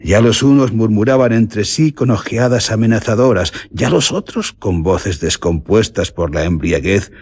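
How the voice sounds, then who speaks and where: A man's voice: lowish voice, normal speed, hardly any pauses, a little mellow, slightly rough. An actor playing a grave, ominous bandit who tells his story theatrically in a radio horror drama, with a Castilian Spanish accent. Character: bandit recounting his gang's dark deeds, grave and ominous.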